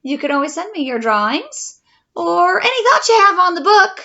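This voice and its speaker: Woman, children's storytime reader, high animated voice, expressive questioning manner, slightly dull home recording. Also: normal speed. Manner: expressive, addresses young listeners with questions. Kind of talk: children's read-aloud with chat to listeners.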